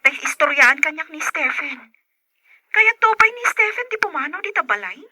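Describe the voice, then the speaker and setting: A woman's voice: high-pitched, sing-song, normal speed, bright, forceful tone, dry recording, exaggerated voice. A young woman with a Filipino Ilocano accent playing a hurt but devoted grown daughter in a radio serial, plaintive and earnest. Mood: sad, tender. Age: young adult. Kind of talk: radio serial drama acting.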